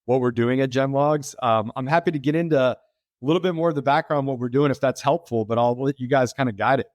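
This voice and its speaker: A middle-aged American man talking through his work as a podcast guest, mid-pitched and easygoing. He explains at length, circling back with fillers and restarts. The sound is close and dry, with little room. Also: quick pace, a little mellow, dry recording. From United States. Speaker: podcast guest, freight tech founder. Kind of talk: casual interview talk.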